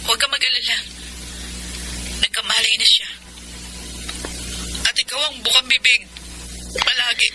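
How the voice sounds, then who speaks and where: A woman's voice: fairly high pitch, steady tone, unhurried, long pauses, background noise, natural voice. A woman acting in a Filipino radio drama as an earnest, reluctant stepdaughter pleading on behalf of her father. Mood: reluctant, grave.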